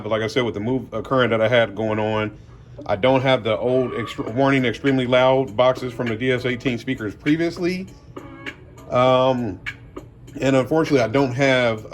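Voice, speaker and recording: A man hosting a car audio vlog, talking casually and colloquially, addressing viewers as y'all and man. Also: medium pitch. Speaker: car audio channel host. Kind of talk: casual product unboxing talk.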